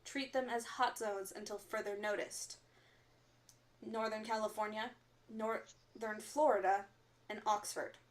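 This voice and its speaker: An actress playing a composed, stern resistance radio announcer, reading formal bulletins in a measured, official way for a radio drama. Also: female voice, medium pitch, fairly even intonation, close to an everyday voice. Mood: grave, official.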